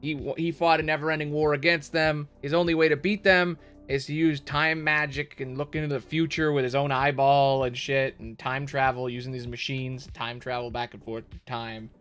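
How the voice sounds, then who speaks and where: A man's voice: medium pitch, flat delivery, normal speed, few pauses, clear, resonant tone. Casual male streamer, music behind. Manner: informal, slangy, thinking out loud. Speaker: streamer reacting to a game story. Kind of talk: casual live reaction commentary.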